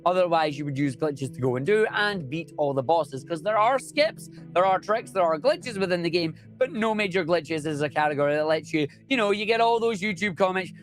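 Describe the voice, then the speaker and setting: Chatty Scottish man, slightly high voice. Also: animated, brisk, few pauses, faint music behind. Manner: enthusiastic, chatty, joking. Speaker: speedrunner guest. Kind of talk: casual interview chat.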